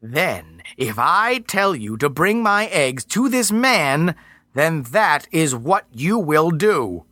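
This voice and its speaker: A man voicing a commanding female earth spirit who speaks in slow, drawn-out, menacing words. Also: a little high, sing-song, pushed and bright, dry recording, clean studio sound, pitched above the performer's own voice, exaggerated voice.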